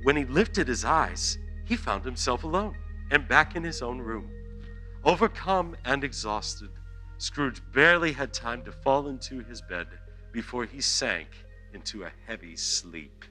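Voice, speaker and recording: A man's voice: medium pitch, lively intonation, unhurried, sharp tone, soft background music, rough recording. A man narrating a scripted radio play as storyteller and announcer.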